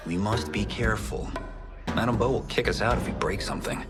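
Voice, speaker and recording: A man's voice: fairly low pitch, a little fast, over loud music, lightly acted. A man playing an earnest, polite young fighter in a video game, friendly and modest. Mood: friendly banter. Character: earnest, polite young male fighter, good-natured rival. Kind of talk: video game cutscene acting.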